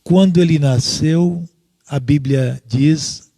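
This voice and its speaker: A man with a Brazilian accent teaching a Bible study in a deliberate, halting way, often checking in with listeners. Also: fairly high pitch, gravelly, warm tone, dry recording. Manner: deliberate, halting, with frequent rhetorical check-ins.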